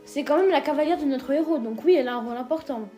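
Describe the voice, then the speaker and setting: A woman's voice: high voice, fast, dark tone, faint music behind. A woman co-hosting a casual ranking video, teasing and joking with lots of hesitant fillers. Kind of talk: casual scripted chat.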